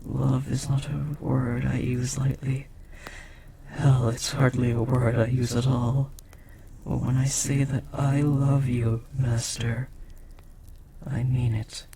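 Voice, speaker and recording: A man playing a devoted, lovestruck demon in an intimate audio roleplay, speaking formally, earnestly and with breathy hesitation. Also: mid-range voice, little pitch movement, slow, noisy background. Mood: earnest, confessing. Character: devoted, lovestruck powerful demon bound to a sorcerer.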